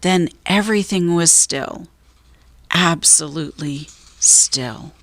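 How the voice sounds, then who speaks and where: A woman reading a children's story aloud as a narrator, in a measured, deliberate way with drawn-out emphasis. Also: lowish voice. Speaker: storybook reader.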